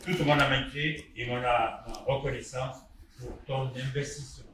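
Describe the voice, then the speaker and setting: A man's voice: mid-range voice, flat delivery, normal speed, background noise. A man, a judo official, giving a prepared formal ceremonial speech. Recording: echoey hall, distant mic.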